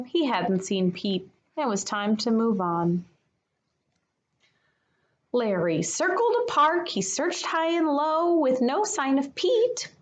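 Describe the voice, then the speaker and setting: A woman reads a picture book aloud for young children. Her voice sits fairly high and swings widely in pitch, with an unhurried, expressive teacherly delivery. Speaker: kindergarten teacher reading aloud.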